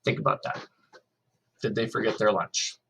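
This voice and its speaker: A man's voice: on the low side, fairly even intonation, moderate pace, creaky, fairly bright tone, slightly rough. A male teacher reading a novel aloud to his class, reading expressively and stopping to ask the students questions.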